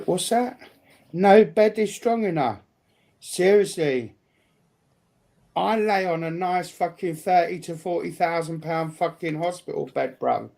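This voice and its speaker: A British speaker in casual livestream banter, blunt, combative and swearing heavily, with a gravelly voice. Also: medium pitch, lively intonation, unhurried, pauses often, darkish tone.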